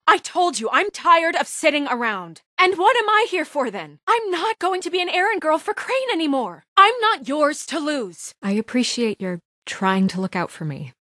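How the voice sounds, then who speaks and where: A woman playing a composed, determined, guarded young fairy-tale heroine in a video game, speaking in an earnest, firm, measured way. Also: high voice, sing-song, pushed and bright, no echo, studio quality, exaggerated voice. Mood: angry, indignant. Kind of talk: naturalistic video game voice acting.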